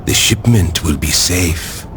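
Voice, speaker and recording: Deep-voiced, calm criminal lieutenant. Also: male voice, takes it slowly, slight background noise. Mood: calm assurance. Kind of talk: video game cutscene acting.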